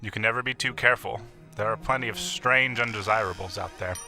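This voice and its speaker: An actor playing a genial, theatrical old Yankee gentleman with a courtly, avuncular manner in an audio drama. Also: lowish voice, quick pace, music in the background, lightly acted. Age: older.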